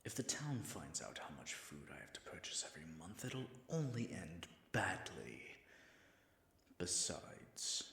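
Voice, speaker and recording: A man's voice: deep voice, sing-song, measured pace, vocal fry, fairly bright tone, reverberant, heavily acted. A man acting as an all-powerful, self-conscious dragon in disguise, muttering dry, sardonic asides to himself in a scripted audio roleplay. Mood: grumbling.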